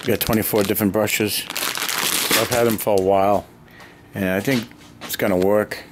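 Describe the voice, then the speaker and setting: A man's voice: on the low side, steady tone, moderate pace, heavy background noise. A man in a cluttered basement hobby room, casually thinking aloud with fillers while he paints a model, picked up by a camera mic near his hands.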